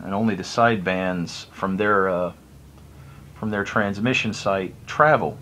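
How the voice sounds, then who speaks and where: A man's voice: on the low side, a bit bright, a little hiss or hum. A man giving a casual, unscripted hobby explainer in a folksy, rambling, self-deprecating way. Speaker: hobbyist video presenter.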